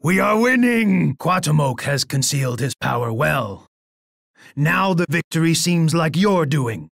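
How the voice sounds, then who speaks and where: An actor playing a proud, bloodthirsty and unhinged Aztec emperor in a video game, with a husky voice and a pompous manner. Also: male voice, fairly high pitch, sing-song, a little slow, a bit bright, dry recording, clean studio sound, exaggerated voice. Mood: gloating, grandiose. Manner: pompous, speaks of himself in third person. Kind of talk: video game character voice lines.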